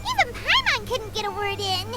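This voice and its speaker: A tiny, perky fairy companion in an anime-style game. The voice is squeaky and extremely high, leaping wildly in pitch, with chirpy, nosy energy over loud game music. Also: female voice, wildly swooping pitch, moderate pace, full character voice. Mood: chatty. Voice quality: squeaky, childlike. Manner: chirpy, speaks of self by name.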